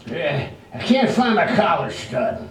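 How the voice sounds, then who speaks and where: Man acting a cranky, high-voiced old grandfather. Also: big pitch swings, a little slow, gravelly, a crowd in the background, full character voice. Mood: fretful. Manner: fretful, folksy. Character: cranky, stubborn old small-town grandfather. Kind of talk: readers theater stage acting. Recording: echoey, distant, faintly noisy room.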